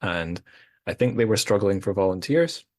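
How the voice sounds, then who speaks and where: A man's voice: on the low side, lively intonation, moderate pace, darkish tone, no room sound. A man hosting a podcast, chatting casually in a rambling, self-deprecating way with many restarts. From Scotland. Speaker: podcast host. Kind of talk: casual chat.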